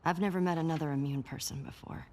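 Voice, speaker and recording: A woman playing a guarded, probing young woman in a naturalistic video game cutscene, quiet, pointed and restrained. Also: low-pitched, steady tone, quick pace, close to an everyday voice. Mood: quietly probing.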